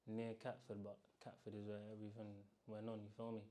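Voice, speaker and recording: Young south London man as guest, mellow, flat, easygoing slang. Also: on the low side, fairly quick. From south-east London. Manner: laid-back, heavy London slang. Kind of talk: casual interview answers.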